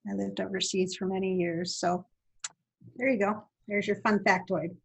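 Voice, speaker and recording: Husky woman, native Italian speaker, reflective podcast guest pausing on fillers. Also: mid-range voice, fairly even intonation, poor audio. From Italy. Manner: thoughtful, with frequent fillers and restarts. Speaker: university guest. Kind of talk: podcast interview.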